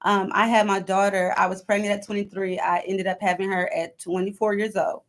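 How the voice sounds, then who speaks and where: A woman's voice: medium pitch, level tone, a little fast. A woman of thirty-two talking casually as an interview guest, emphatic and often repeating her phrases to drive them home. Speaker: interview guest, hair stylist.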